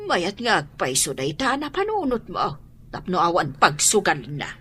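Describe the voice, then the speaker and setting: A woman's voice: fairly low pitch, huge pitch swings, normal speed, a bit bright, breathy edge, full character voice. A Filipino woman with an Ilocano accent playing a worried, stern, protective mother in a radio drama. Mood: resigned.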